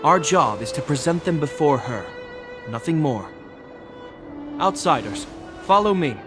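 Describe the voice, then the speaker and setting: Solemn, dutiful male captain: measured, authoritative game delivery over orchestral music. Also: a little high, lively intonation. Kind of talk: video game voice acting. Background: orchestral violin music.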